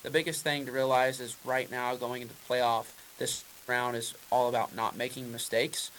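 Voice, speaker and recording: A man's voice: mid-range voice, little pitch movement, normal speed, fairly bright tone, a little hiss or hum, rough recording. A man, a race car driver, answering press questions in a casual, rambling way with many fillers and restarts.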